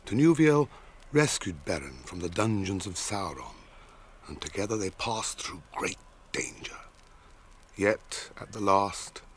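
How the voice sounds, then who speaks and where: An actor playing a grave, watchful ranger guide in a radio drama, speaking in measured British English like a storyteller. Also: male voice, low voice, slight background noise, full character voice.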